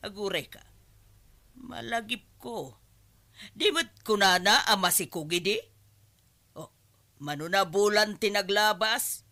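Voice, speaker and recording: A woman's voice: medium pitch, pushed and bright. A woman acting a disapproving, meddling mother-in-law in a Filipino radio drama, with an Ilocano accent and a scolding manner.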